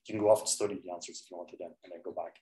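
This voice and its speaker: A man's voice: low-pitched, steady tone, rapid-fire, mellow. A young man giving an informal university lecture in a chatty, self-correcting way, heard through a laptop mic on the desk. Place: lecture hall. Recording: echoey lecture hall.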